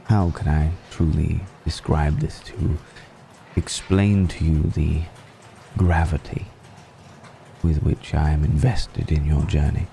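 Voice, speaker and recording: A man playing a suave, ancient aristocratic vampire in an intimate ASMR roleplay, musing slowly and deliberately with long pauses. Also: steady tone, mellow, a little hiss or hum, exaggerated voice, poor audio. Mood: intrigued, savoring. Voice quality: very deep, gravelly.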